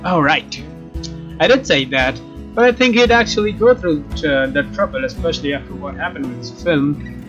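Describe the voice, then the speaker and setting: Higher-voiced man, slightly dull sound. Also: moderate pace. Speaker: let's player. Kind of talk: reading visual novel narration aloud, expressive. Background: guitar game music.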